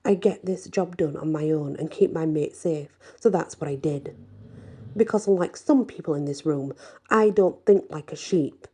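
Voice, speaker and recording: A woman's voice: deep voice, moderate pace, mellow, slightly rough. A woman voicing a feisty, sarcastic young female werewolf heroine in a storybook, blunt and sassy. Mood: indignant. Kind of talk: acted storybook dialogue.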